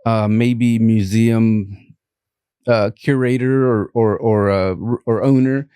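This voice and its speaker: A man with a Mexican accent, an art collector talking casually as an interview guest, halting with many fillers. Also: lowish voice, fairly even intonation, mellow, smooth, no echo, clean studio sound.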